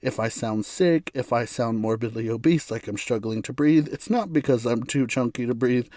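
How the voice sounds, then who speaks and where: A hoarse, raspy man of middle pitch talks through his views in a casual solo podcast, chuckling as he goes and often backing up to restart a sentence. He is from the United States, and his voice sounds close and dry, with little room sound. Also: normal speed, mellow, no room sound. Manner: rambling and opinionated, with frequent self-restarts and chuckles. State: hoarse from bronchitis. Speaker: podcast host.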